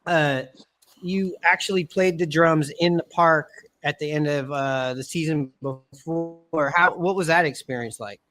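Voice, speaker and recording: A man's voice: on the high side, measured pace, a bit bright, faint music behind. A man hosting a podcast, interviewing in a casual conversational way and rephrasing his rambling questions as he goes. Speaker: podcast host.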